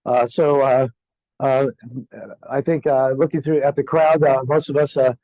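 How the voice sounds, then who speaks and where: Older guy w sore scratchy throat lecturing, mellow dark voice, dry sound, lots of uhs. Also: mid-range voice, little pitch movement, dry recording. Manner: halting, many fillers and throat clearing. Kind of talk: conference lecture. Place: lecture hall.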